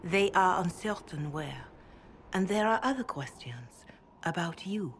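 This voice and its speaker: Hushed, slow-spoken wise older woman. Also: lowish voice, expressive, slight background noise. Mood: solemn, reassuring. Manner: measured, solemn, consoling. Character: wise, devout older woman counselor, calm and grave. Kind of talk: dramatic game dialogue.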